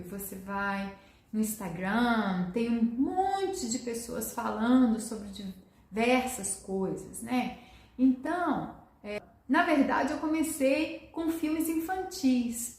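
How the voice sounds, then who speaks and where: A middle-aged woman teaching Portuguese in a relaxed online lesson, explaining step by step and often checking in with a quick "né?" or asking questions and answering them herself. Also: a little high, roomy sound.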